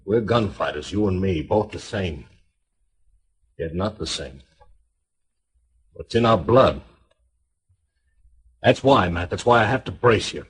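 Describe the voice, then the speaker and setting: A fatalistic American gunfighter in a vintage radio western. His voice is low and extremely husky. He talks in a brooding, deliberate way, weary and quietly menacing. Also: expressive, heavily acted. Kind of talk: 1950s western radio drama acting.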